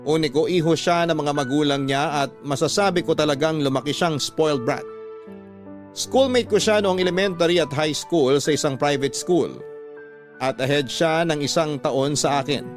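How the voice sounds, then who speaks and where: A man's voice: a little high, normal speed, faint music behind. A man delivering scripted dramatic narration as a radio drama storyteller.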